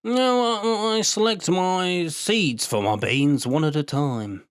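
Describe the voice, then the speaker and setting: A man's voice: high voice, slow pace, clear, resonant tone, no echo, clean studio sound, pitched above the performer's own voice. A man voicing an old village bean farmer in a storybook, with a drawling, hesitant, folksy manner. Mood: proud, humble. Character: old village bean farmer, gruff, then proudly pleased. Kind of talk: storybook character voice.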